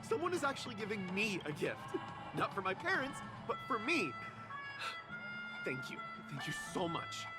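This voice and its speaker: A man's voice: sits high, wildly swooping pitch, normal speed. A male actor playing a timid, lonely, self-doubting youngster in a video game, hesitant, meek and earnest. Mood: shy, grateful. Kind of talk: scripted video game voice acting. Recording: loud background music behind voice.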